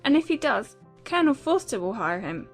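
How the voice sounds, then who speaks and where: A woman's voice: fairly high pitch, big pitch swings, a little fast, soft background music, pitched above the performer's own voice. A woman voicing a brash, flighty, chatty teenage girl in a dramatized audiobook of a classic novel. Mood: excited, careless. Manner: chatty, impatient.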